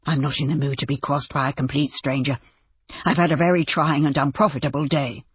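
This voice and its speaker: A narrator voicing a proud, embittered and desperate gentleman doctor in audiobook dialogue. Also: mid-range voice, fairly even intonation, brisk, husky, no room sound, muffled recording. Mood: indignant. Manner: cross, self-important, despairing.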